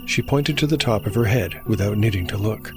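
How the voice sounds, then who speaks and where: A man narrating a fantasy tabletop game as storyteller and game master, in a measured, scripted delivery. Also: fairly low pitch. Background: music.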